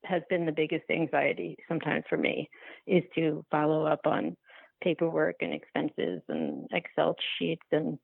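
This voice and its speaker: A woman speaking casually as an interview guest, halting and restarting her sentences, over a thin phone-like line. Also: low-pitched, gravelly, slightly rough, dry recording. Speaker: interview guest, documentary filmmaker.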